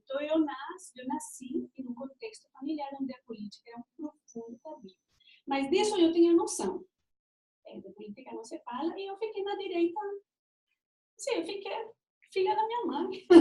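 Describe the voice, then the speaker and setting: A woman's voice: high-pitched, warm tone. Colombian woman lecturing in an echoey room. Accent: Colombian Spanish. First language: Spanish. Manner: reflective, personal, with pauses and restarts. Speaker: speaker giving a talk. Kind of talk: lecture-style talk. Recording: distant mic in an echoey room.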